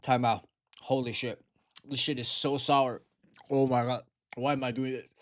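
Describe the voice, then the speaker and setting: A man's voice: medium pitch, level tone, moderate pace. A tired young man from Taiwan, chatting to viewers on a livestream in slangy, swearing speech. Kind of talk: casual livestream chat.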